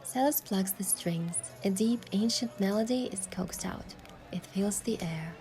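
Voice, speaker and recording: A female actor plays a young heroine telling her own love story in a romance game. Her mid-range voice is soft and lyrical, moving between playful and tender. Music sits behind her. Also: medium pitch, unhurried, natural voice. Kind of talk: scripted first-person narration and dialogue in romance game.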